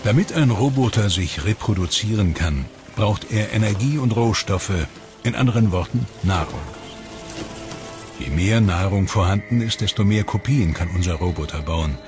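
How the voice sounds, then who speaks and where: Low-voiced male documentary narrator over music. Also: animated, moderate pace. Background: documentary music score.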